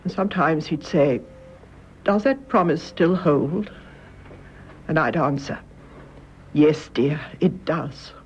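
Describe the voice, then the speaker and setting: An actress playing a grave, loving elderly British mother in a radio drama, speaking in a measured, gentle, solemn way. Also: low voice. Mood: solemn, confessing. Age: older. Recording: muffled radio recording, clear background noise.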